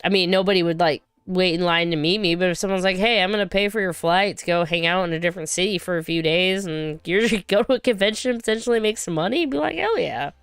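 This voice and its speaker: A woman's voice: lowish voice, fast talker, hardly any pauses, clean tone, dry recording, clean studio sound. A woman hosting a casual livestream, rambling and chatty as she reads viewer comments, her voice still recovering from being sick. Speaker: livestream host.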